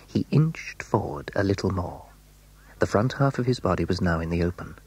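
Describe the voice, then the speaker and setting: A man narrating a children's story in a hushed, suspenseful, dramatic way. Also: fairly low pitch, husky, rough recording.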